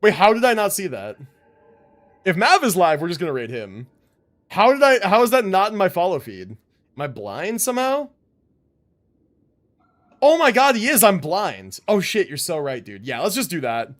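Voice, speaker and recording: A man's voice: sits high, huge pitch swings, normal speed, long pauses, fairly bright tone. A young man chatting casually to his livestream viewers as a game streamer, informal and rambling, with free swearing.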